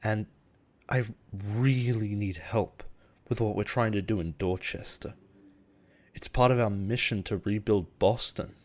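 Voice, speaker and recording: A man playing a possessive, persuasive boss in an intimate audio roleplay, speaking in a measured, earnest way with deliberate pauses. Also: lowish voice, close to an everyday voice. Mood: intense, pleading. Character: possessive, persuasive male CEO boss, smooth and protective. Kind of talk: intimate dramatic roleplay monologue.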